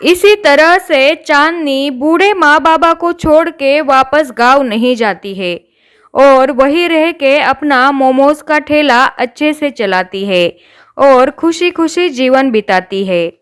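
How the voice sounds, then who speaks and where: A woman's voice: high-pitched, slow pace. A woman narrating a scripted children's story as a storyteller.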